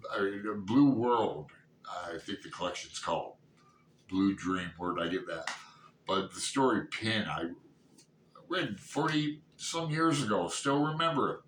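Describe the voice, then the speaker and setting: Man in his sixties, slightly low voice, halting casual book chat, thinking aloud, echoey room, background noise. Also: fairly bright tone. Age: 60s. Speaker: book reviewer vlogger. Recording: roomy echo, distant mic, background noise.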